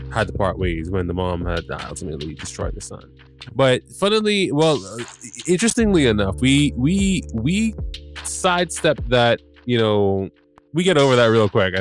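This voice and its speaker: A man hosting a casual recap podcast with a stuffed-up nose, rambling, correcting himself and swearing casually. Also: lowish voice. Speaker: podcast host.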